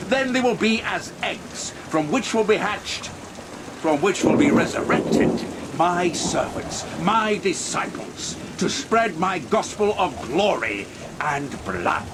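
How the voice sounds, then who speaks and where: A gloating male vampire lord in a gothic radio play, taunting and savoring every word. The voice sits high and moves in lively swoops, with clear storm noise of rain and thunder around it. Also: slow pace, exaggerated voice. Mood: menacing, triumphant. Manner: taunting, theatrical, savoring words. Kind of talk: gothic horror audio drama acting.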